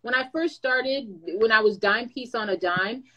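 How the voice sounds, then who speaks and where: A woman's voice: a little high, moderate pace, highs cut off. Chatty woman giving creator advice. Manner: lively, chatty, with playful asides. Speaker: content creator and brand manager. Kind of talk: casual advice talk.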